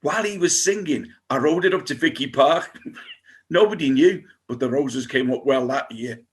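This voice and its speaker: Actor as cheery daft lad, medium pitch. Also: male voice, lively intonation, moderate pace, natural voice. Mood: cheerful. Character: cheerful, daft studio lad, matey joker.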